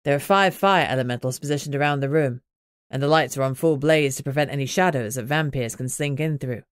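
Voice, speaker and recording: A woman voicing a grim, protective male werewolf in an audiobook, steady and matter-of-fact. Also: a bass voice, fairly quick, no echo, studio quality, pitched below the performer's own voice. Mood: reassuring, steady.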